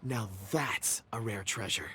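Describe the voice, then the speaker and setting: An actor playing an amiable, articulate young rock expert in an English-dubbed anime game, courteous and eloquent. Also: male voice, fairly low pitch, a little slow, sharp tone, husky, full character voice. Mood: admiring, eloquent.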